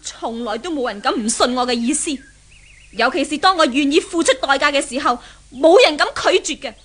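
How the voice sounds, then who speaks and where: A woman's voice: sits high, lively intonation, a little fast, fairly bright tone. An actress playing an arrogant, domineering young rich woman in a 1970s radio drama, with a Hong Kong Cantonese accent and a sneering, imperious manner. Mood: threatening.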